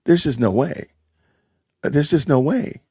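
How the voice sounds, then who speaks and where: A man hosting a casual livestream chat, excitable and loud in his reactions. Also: on the high side, a little slow, breathy edge, dry recording, studio quality. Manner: excitable, exclaims loudly. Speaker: livestream host.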